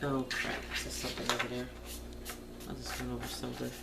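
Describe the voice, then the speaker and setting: A woman's voice: very deep voice, completely flat delivery, a little fast, creaky. A woman chatting casually and thinking aloud in a folksy, informal way as she hosts a colouring vlog. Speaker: host of a colouring tutorial vlog. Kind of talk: casual chat while colouring. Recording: roomy, noisy, distant home camera mic.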